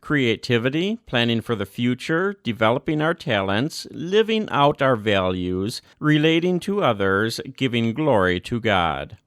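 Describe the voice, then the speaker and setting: A man giving a scripted reading of a religious document, phrase by measured phrase, with deliberate emphasis. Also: mid-range voice, sing-song, smooth, dry recording, studio quality. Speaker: reader.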